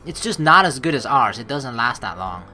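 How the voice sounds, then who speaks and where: Male let's player, casual, rambling, profane, expressive pitch, reading aloud, background game music. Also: moderate pace. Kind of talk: casual gaming commentary mixed with read-aloud narration.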